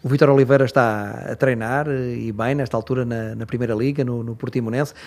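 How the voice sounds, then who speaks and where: European Portuguese interviewer asking questions. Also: male voice, medium pitch, fairly even intonation, a little fast, a little mellow. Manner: asks long questions with frequent "eh" fillers. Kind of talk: radio interview.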